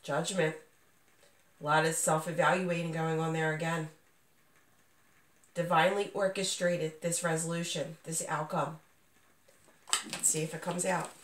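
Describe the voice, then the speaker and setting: A woman's voice: low-pitched, level tone, a little slow, pauses often, fairly bright tone, a little hiss or hum. A woman giving a casual, unscripted tarot reading, reassuring and speaking straight to the listener, with a voice not at full strength as she gets over being sick. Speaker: tarot reader, channel host. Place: indoors, small dim room at a table. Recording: roomy echo, distant microphone.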